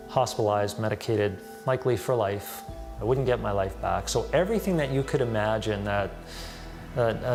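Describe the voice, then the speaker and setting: A man giving a personal testimony, recounting his experience deliberately and searching for words. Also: fairly low pitch, creaky. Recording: music bed under the voice. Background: background music.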